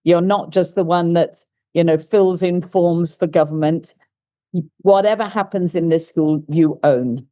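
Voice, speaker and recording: A woman's voice: lowish voice, slow, dark tone. A woman panelist speaking emphatically and conversationally about school leadership, with some fillers. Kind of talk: panel discussion answer.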